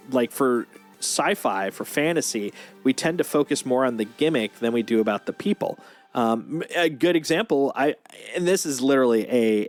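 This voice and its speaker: A man hosting a livestream, thinking aloud in a casual, opinionated, rambling discussion. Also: mid-range voice. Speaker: livestream host.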